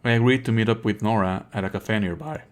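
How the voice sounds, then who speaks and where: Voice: medium pitch, fast talker. Wry, casual game streamer. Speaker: streamer playing and commenting on a game. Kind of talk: live let's play commentary, reading game narration aloud.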